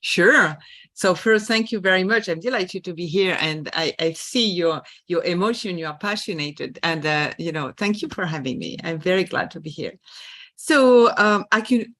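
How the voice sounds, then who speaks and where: A woman's voice: on the low side, expressive, moderate pace, fairly bright tone, no room sound. A middle-aged woman from France with a French accent, speaking as a guest on a podcast about her work as a leadership coach, thoughtful and full of small restarts. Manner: thoughtful, with many fillers and restarts. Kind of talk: podcast interview.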